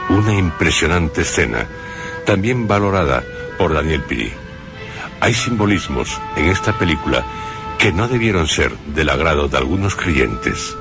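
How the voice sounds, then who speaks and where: A man's voice: a bass voice, very animated, normal speed, gravelly, music in the background. A man giving scripted film commentary on the radio, with personal asides. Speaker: radio commentator on horror films.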